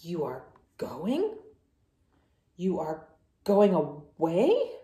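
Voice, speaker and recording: A woman's voice: on the low side, huge pitch swings, warm tone, roomy sound, slight background noise, pitched below the performer's own voice, heavily acted. Woman voicing a dramatic, wailing storybook elephant. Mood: worried. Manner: theatrical, wailing, drawn-out words. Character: dramatic, anxious male cartoon elephant, pleading loudly.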